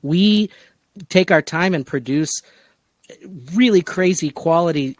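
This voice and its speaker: A man with a fairly high-pitched voice talks as the host of a casual discussion. His sound is very dry, with no room around it. He speaks emphatically and with enthusiasm. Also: slow pace, no echo. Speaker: podcast host and producer.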